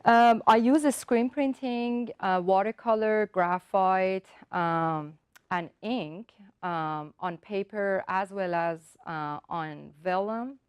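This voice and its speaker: A woman whose first language is Persian, an artist calmly explaining her work and technique step by step, often pausing with ums and uhs. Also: mid-range voice, clear, resonant tone, no echo. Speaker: artist giving a demonstration.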